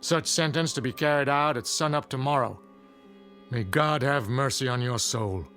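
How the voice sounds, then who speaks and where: An older man acting a grave, weary frontier judge in a Western audio drama, speaking in a solemn, formal, measured way. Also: medium pitch, a bit bright, faint music behind, natural voice.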